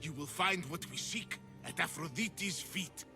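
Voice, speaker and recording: An actor playing an excitable, flowery artist of ancient Greece in a video game, theatrical and effusive. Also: male voice, fairly high pitch, a little slow, music in the background. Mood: rapturous. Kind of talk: video game cutscene acting.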